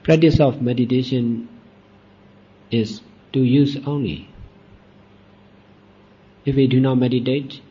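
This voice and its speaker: A man teaches meditation in a soft-edged, mellow voice that is slightly husky and veiled. He speaks deliberately, in short phrases separated by long pauses. The recording is dull and lo-fi. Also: mid-range voice, steady tone. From Myanmar. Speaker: Buddhist monk and meditation teacher.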